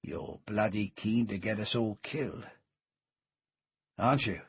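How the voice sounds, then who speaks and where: A man reading the part of a suspicious, menacing man who turns on his partner, with slow, drawn-out, threatening words in a husky voice. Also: no room sound, full character voice. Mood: hostile. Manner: low, threatening, drawn-out delivery. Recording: dull lo-fi recording.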